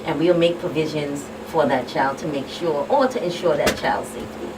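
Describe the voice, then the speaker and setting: A woman with a low voice and a Caribbean accent explains things plainly and emphatically as an expert guest on talk radio. She sounds set back from the mic, with clear noise around her. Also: fairly even intonation, normal speed. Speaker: guest expert, child protection official. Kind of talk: live radio talk show discussion. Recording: distant mic, clearly noisy background.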